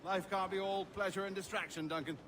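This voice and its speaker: A man playing a prim, hesitant gentleman merchant. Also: high-pitched, steady tone, fairly quick, background noise, natural voice. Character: mild, dutiful gentleman merchant, husband and father. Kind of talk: video game cutscene acting.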